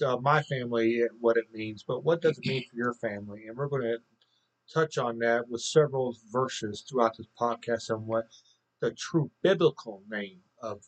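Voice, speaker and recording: A man co-hosting a faith podcast, talking casually and off the cuff in halting phrases. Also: medium pitch, rough recording. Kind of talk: casual unscripted talk.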